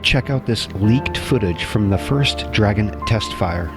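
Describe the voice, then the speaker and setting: A man's voice: fairly low pitch, animated, a little slow. A man hosting a web show, reading scripted science news as narration. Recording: voice-over with music bed.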